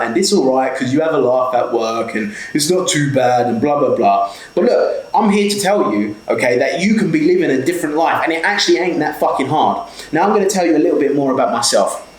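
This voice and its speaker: A man's voice: medium pitch, roomy sound, low-quality recording. A man in his twenties with a South London accent, giving a blunt, sweary motivational talk straight to camera. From South London, England. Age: 20s. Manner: blunt, heavily sweary, repeats "okay?". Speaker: small business owner and mentor.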